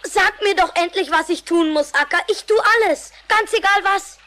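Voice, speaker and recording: A woman playing a small, impulsive boy in a cartoon audio drama, speaking excitably and emphatically. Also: very high voice, moderate pace. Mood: eager. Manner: excitable, emphatic, repeating words. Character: small boy turned tiny, earnest and impulsive. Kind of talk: animated series audio drama acting.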